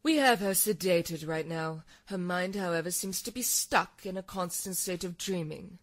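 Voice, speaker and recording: A woman playing a cool, blunt scientist in a horror audio drama, measured and dry. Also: lowish voice, close to an everyday voice. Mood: clinical, grave. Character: cool, clinical female scientist, sardonic and blunt.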